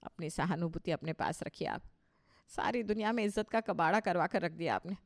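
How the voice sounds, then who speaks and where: A woman's voice: lowish voice, fairly quick, natural voice. A woman voicing a proud, bossy middle-aged mother-in-law in a cartoon story, with a scolding, emphatic delivery. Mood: indignant.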